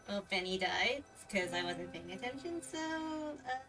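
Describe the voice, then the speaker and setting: Brief: female game streamer, slightly high voice, slow and halting with lots of ums, sitting back from the mic, game music under. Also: lively intonation, fairly bright tone, recorded from a distance. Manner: halting, filler-heavy, flustered. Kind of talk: casual live-stream chat.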